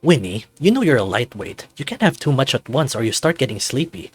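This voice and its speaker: A man's voice: mid-range voice, sing-song, brisk, husky, no echo. A man playing an easygoing, reassuring husband. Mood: fond reassurance. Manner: soothing, upbeat, casual. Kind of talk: visual novel voice acting.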